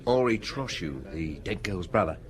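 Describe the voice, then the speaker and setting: A man's voice: low-pitched, big pitch swings, moderate pace. An actor playing a friendly, gossipy bartender in a radio drama, chuckling as he talks. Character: chatty, gossipy male bartender. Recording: crowded bar murmur behind.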